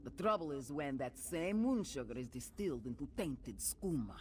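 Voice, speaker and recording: An actor playing a dutiful guard captain in a fantasy game, stern, measured and formal. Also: male voice, medium pitch, sing-song, a little mellow, a little hiss or hum, close to an everyday voice. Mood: grave.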